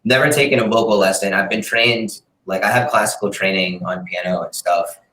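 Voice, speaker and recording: A man's voice: sits low, fairly even intonation, brisk, bright, forceful tone. A man, a singer-songwriter, talking about his music in a laid-back, rambling way, as a guest in an interview. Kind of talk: casual interview chat. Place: room in a city apartment, street noise outside. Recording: roomy echo.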